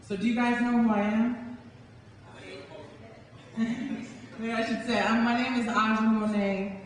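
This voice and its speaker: A woman's voice: fairly high pitch, little pitch movement, measured pace. A young woman, a spoken word poet performing live for an audience. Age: young adult. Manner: dramatic, rhythmic, emphatic delivery when reciting. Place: large indoor hall before an audience. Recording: echoey hall from far off, noisy.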